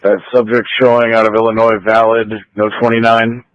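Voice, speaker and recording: A man's voice: mid-range voice, completely flat delivery, fairly quick, clear, resonant tone, dull audio. A male police dispatcher answering over scanner radio in clipped, terse codes.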